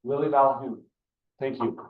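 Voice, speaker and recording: A man's voice: mid-range voice, steady tone, unhurried. An older man, a club member, introduces a guest speaker at a club meeting in an earnest, rambling way. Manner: rambling, earnest, with many fillers and restarts. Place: meeting room. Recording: echoey room, distant dull mic.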